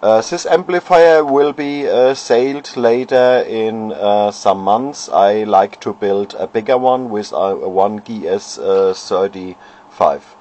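A man, an amateur radio operator showing his homebrew gear at home, pausing often and searching for words. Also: lowish voice, darkish tone. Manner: halting, many fillers, searching for words. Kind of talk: casual equipment demo. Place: home radio shack, indoors. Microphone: handheld camera.

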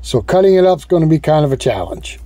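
A man calmly explaining how to cut up a fallen tree, recorded outdoors in a forest on a camera mic. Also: a little high, quick pace, no echo. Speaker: woodcutter host. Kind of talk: instructional outdoor narration.

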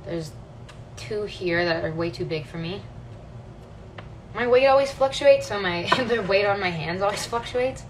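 A young woman talks through fan questions on a phone livestream from her kitchen, relaxed and chatty. Her voice sits at an ordinary middle pitch. The phone picks her up from a little distance, with a constant hum of noise behind her. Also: a little slow, long pauses. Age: young adult. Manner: relaxed, chatty, thoughtful, with many "um"s. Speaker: singer hosting a livestream. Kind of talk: casual livestream chat, reading fan questions. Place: home kitchen, indoors. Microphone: phone held close, front camera.

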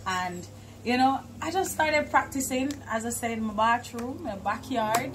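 A young adult woman with a Jamaican accent, chatting in an animated, informal way as a musician being interviewed. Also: a little high, a little slow, noisy background. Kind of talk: casual interview chat. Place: outdoors on a porch by a garden.

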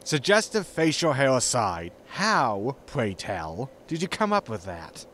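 Exasperated space captain , male actor, audio sitcom. Dry, scolding, sarcastic, lively intonation over faint ambient noise. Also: mid-range voice, unhurried. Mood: incredulous. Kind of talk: sci-fi sitcom audio drama acting.

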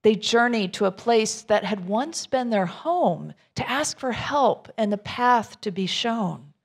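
A woman's voice: a little high, lively intonation, measured pace, husky. A middle-aged woman minister reading a rhyming children's story aloud, expressively, with informal asides to her listeners.

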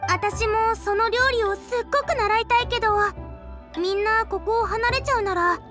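A woman's voice: extremely high pitch, fairly even intonation, normal speed. A woman voicing an eager, girlish young female chef in a Japanese game, with earnest informal speech. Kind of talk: Japanese anime game voice acting. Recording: music behind the voice. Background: background game music.

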